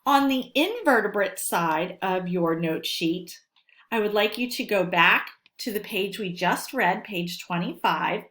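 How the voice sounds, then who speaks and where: A woman teacher reading a lesson aloud to children, speaking deliberately and instructively and stressing key words. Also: medium pitch, a bit bright. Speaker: elementary school teacher.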